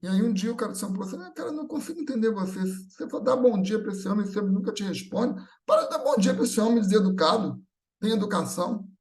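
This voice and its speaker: A Brazilian man with a fairly high voice speaks at a religious gathering. He talks informally and conversationally, illustrating his points with everyday anecdotes, voicing the people in them and often adding short tag questions. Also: animated, quick pace, darkish tone. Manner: acts out everyday dialogue in anecdotes.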